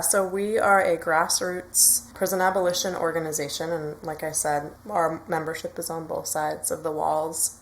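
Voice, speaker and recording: A woman interview guest who volunteers as an organizer, explaining things step by step in an informal way. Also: sits low.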